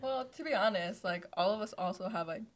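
A woman's voice: medium pitch, brisk, slight background noise. A woman in her twenties, a podcast co-host, easygoing and plain-spoken in casual chat. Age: 20s.

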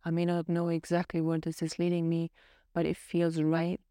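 A woman's voice: on the low side, monotone, normal speed, warm tone, clean tone, dry recording, studio quality. A woman from Austria whose first language is German, speaking English on a podcast and telling her own story in a reflective, earnest way. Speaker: podcast co-host. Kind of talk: casual podcast conversation.

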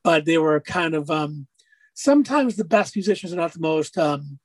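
A man with a raspy speaking voice, a musician guest on an interview, talking casually and rambling through stories with lots of fillers. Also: fairly high pitch, expressive, normal speed, dry recording. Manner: rambling storyteller, many fillers.

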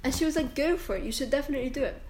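A woman's voice: high-pitched, expressive, racing, a little mellow, reverberant, a little hiss or hum. A young woman hosting her own podcast, ranting casually in a strong, confident voice, full of likes and restarts. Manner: rambling, chatty, self-interrupting with likes and restarts. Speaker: podcast host. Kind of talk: casual solo rant. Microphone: camera and microphone, recording herself.

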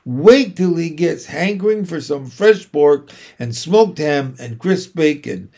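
A husky, sneering rat from a children's storybook, acted out by a man. He is greedy and self-satisfied, stretching his words slowly and with relish. The voice sits fairly high and hoarse. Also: lively intonation, darkish tone, full character voice. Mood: snide, taunting. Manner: drawn-out, relishing, emphatic delivery. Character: gluttonous, sneering old male barn rat.

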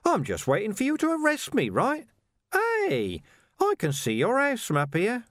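Chirpy, high-voiced taunting criminal for an audiobook; male reader doing a sly, mocking crook, dry studio sound. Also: huge pitch swings, normal speed, dry recording, exaggerated voice. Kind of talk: audiobook character voice.

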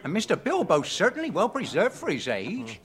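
Animated old rustic hobbit gardener. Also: male voice, sits high, fairly quick, exaggerated voice. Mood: gossipy, pleased. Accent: English rural. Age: older. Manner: folksy, emphatic storytelling. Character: gossipy, loyal old hobbit gardener, respectable and proud. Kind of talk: radio drama acting.

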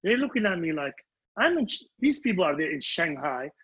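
A man who is a native Arabic speaker, a band leader and singer telling anecdotes in an emphatic, self-deprecating way, repeating his phrases, with a husky voice. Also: fairly high pitch, big pitch swings, a little fast, a bit bright. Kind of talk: animated anecdotal storytelling in conversation. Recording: dull, muffled lo-fi sound.

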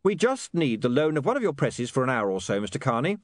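A man's voice: medium pitch, big pitch swings, quick pace, dry recording, clean studio sound, pitched above the performer's own voice, close to an everyday voice. A man voicing an earnest, polite, determined young male newspaperman in an audiobook. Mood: politely firm.

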